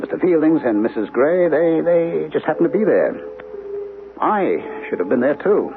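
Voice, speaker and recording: A man's voice: a little high, big pitch swings, moderate pace. An actor playing an anxious male writer who keeps asking why he survived, in a vintage radio drama. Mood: troubled. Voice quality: brooding, repeats questions insistently. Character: anxious male author obsessed with his survival. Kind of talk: 1970s radio drama acting. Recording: tinny old radio broadcast with music.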